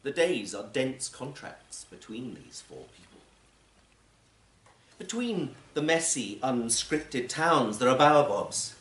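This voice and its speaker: Expressive man reading literary fiction aloud. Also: mid-range voice. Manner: measured, deliberate, dramatic phrasing. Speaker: actor and audiobook reader. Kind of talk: literary story reading, scripted narration. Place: performance space in a library, live event. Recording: roomy live recording with faint noise.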